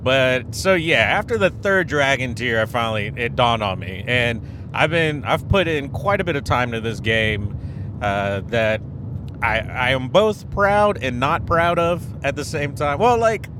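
A man's voice: lively intonation, a little slow. A man hosting a casual solo podcast, rambling, correcting himself and laughing at his own jokes, his nose and throat still stuffy after a cold. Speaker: podcast host. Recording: noisy with background rumble.

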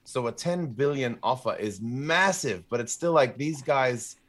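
A man's voice: medium pitch, animated, unhurried. A man co-hosting a podcast, chatting informally and enthusiastically about gaming news. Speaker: podcast co-host. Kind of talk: casual chat, commentary on gaming news.

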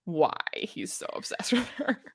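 A woman co-hosts a book podcast in a loose, conversational style. She drops "like" into her sentences often. Her pitch is average for a woman, and the recording is dry and free of echo. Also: lively intonation, unhurried, husky. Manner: peppers talk with "like". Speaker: podcast co-host. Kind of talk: casual book discussion chat.

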